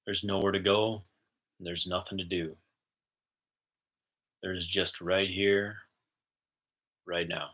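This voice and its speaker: A man with a fairly low voice that still has a bright edge, hosting a spiritual talk. He chats in a relaxed, easygoing way. When he guides the breathing he slows down and goes soft. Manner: easygoing and chatty, soft and slow when guiding. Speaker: spiritual host and intuitive channeler.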